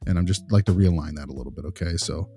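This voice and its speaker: Man playing a low, mellow, tactful male consultant. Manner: halting, rambling, tactful, reassuring. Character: male behavior consultant, patient, diplomatic, encouraging. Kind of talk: conversational role-play of a consultation.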